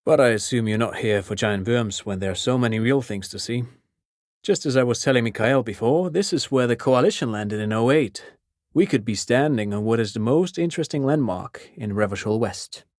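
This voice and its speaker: Actor as a chatty, pedantic intellectual dad, dry close sound. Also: male voice, mid-range voice, darkish tone, dry recording, close to an everyday voice. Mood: chatty, friendly. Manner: erudite, rambling, over-explaining, genial. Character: chatty, pedantic intellectual father, eager and polite. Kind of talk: video game character dialogue.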